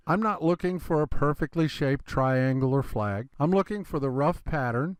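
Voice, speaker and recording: Gravelly-voiced man teaching trading. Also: medium pitch, no echo. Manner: relaxed explanatory, addresses viewers as "you guys". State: slightly congested. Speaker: trading educator. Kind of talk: screen-recorded trading lesson.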